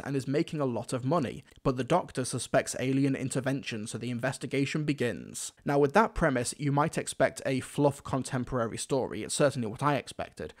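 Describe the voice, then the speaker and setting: A man hosting a scripted video-essay review in an enthusiastic, emphatic manner. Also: medium pitch. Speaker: reviewer, host.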